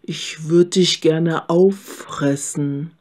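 Casting: storybook cow played by a woman, loud, very slow drawl, hungry and coaxing. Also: low-pitched, steady tone, full character voice. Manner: slow, drawn-out, mooing.

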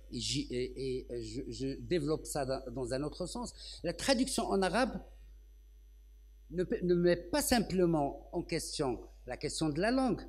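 A man's voice: fairly high pitch, darkish tone. Older man, hesitant panel talk. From Morocco. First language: Arabic. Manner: thoughtful, many hesitations and restarts. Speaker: translator on a literary panel. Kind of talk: round-table talk. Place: indoor festival stage, seated on a sofa. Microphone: handheld microphone.

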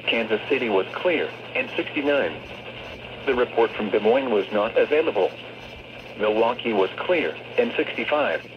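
A synthetic text-to-speech man reading scripted weather forecasts as an automated radio announcer, in a stilted delivery. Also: medium pitch. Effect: synthetic voice over weather radio. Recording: muffled, noisy weather radio speaker.

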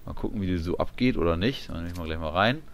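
A man's voice: deep voice, lively intonation, fast. A man giving casual gameplay commentary, muttering along as he reads menu items, with game sound behind him. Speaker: gamer commentating his playthrough. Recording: clear background noise, game ambience.

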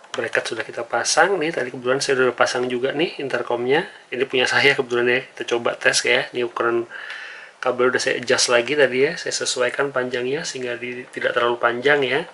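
A man's voice: medium pitch, fairly even intonation, fairly quick, sharp tone, slight background noise. An Indonesian man giving a casual, conversational hands-on tutorial as a vlogger. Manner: conversational, often tags phrases with "ya".

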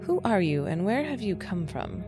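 A woman voicing a dry, boastful computer intelligence in an audio drama, brisk, skeptical and deadpan. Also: lowish voice, music in the background.

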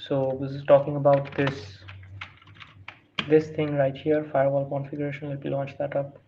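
A man's voice: medium pitch, completely flat delivery, normal speed. A man who is not a native English speaker walks through a screen-recorded tutorial, reading prompts aloud with many fillers and restarts. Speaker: tutorial host. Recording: dull lo-fi sound with faint hiss.

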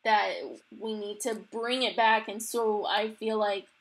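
A young adult woman hosting a podcast, chatting casually in informal, halting, tentative phrases. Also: medium pitch, level tone, poor audio. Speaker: podcast host. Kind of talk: casual interview chat.

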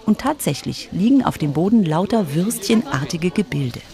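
A woman's voice: on the low side, animated, moderate pace, mellow. A woman reporter giving scripted narration for a children's radio story.

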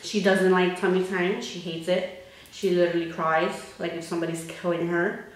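A young woman in her twenties vlogging casually to camera, animated and expressive, slipping Spanish words into her English. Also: on the low side, normal speed. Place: bare indoor room. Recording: echoey bare room.

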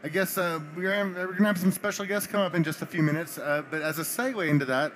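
A man moderating a conference panel discussion, easygoing and relaxed as he asks the guests questions. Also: high-pitched, fast, slight background noise. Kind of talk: hosting a panel chat.

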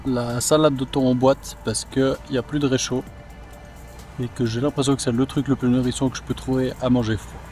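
A man talks to his camera while kayaking alone, relaxed and chatty, thinking aloud as he goes. His voice sits at an ordinary middle pitch, and some faint music plays beneath it. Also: fairly quick. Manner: relaxed and chatty, thinks aloud, swears casually, many fillers. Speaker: solo kayak traveller vlogging his trip. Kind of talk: casual vlog narration to camera. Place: outdoors by a river.

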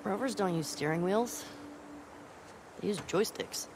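An actress playing a spirited, sarcastic teenage space nerd in a naturalistic video game performance. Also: female voice, medium pitch, expressive, measured pace, natural voice. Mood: wry, teasing. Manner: sarcastic, exclamatory, breathy wonder. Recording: clear background noise, ambience.

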